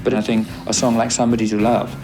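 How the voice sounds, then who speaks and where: A man's voice: mid-range voice, flat delivery, fast. A man who worked in the studio, chatting with fillers and hedges in an interview. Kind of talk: casual interview. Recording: interview with guitar music underneath.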